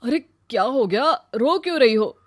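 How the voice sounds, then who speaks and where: A woman voicing a charming, persuasive young man in a cartoon story, talking quickly and softly. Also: a little high, expressive, no echo, studio quality. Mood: concerned. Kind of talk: Hindi cartoon story voice acting.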